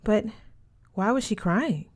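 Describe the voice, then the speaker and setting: A woman's voice: medium pitch, lively intonation, slow pace, voice pushed up. A woman voicing a naive, modest teenage scholarship girl in an audiobook, questioning and unsure. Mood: puzzled.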